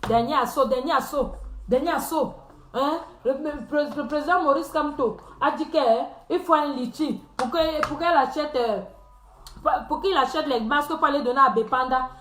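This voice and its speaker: A woman with a high voice mocks her viewers in a teasing live-stream rant. She laughs often and repeats phrases for effect. The sound bounces around an echoey room. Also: normal speed, a bit bright, slight background noise.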